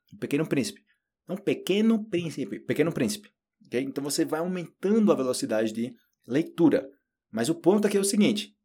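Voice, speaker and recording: A man from Brazil with a Brazilian Portuguese accent, giving a casual spoken lesson and slowly repeating phrases to demonstrate. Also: medium pitch, darkish tone, slightly rough. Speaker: Portuguese teacher.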